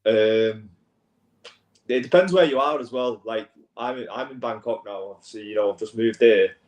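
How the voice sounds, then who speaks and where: A man's voice: lowish voice, normal speed, a little mellow, roomy sound, poor audio. A man of twenty-nine, talking laid-back and sweary as a guest on a call from his apartment abroad. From England. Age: 20s. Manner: laid-back, swearing, says mate a lot. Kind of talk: casual chat. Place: an apartment in Bangkok.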